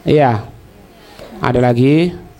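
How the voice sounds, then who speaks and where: A man's voice: mid-range voice, extremely slow, a little mellow. A man with a Javanese accent teaching religion in a colloquial, didactic way, posing questions and checking that listeners follow. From East Java, Indonesia. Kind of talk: religious lecture with question and answer.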